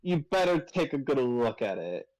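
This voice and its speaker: A man's voice: medium pitch, very animated, moderate pace, a little mellow, close to an everyday voice. A man voicing a self-important older lawyer in a courtroom video game, with pompous delivery, recorded on a noisy home mic. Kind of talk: amateur game voice acting.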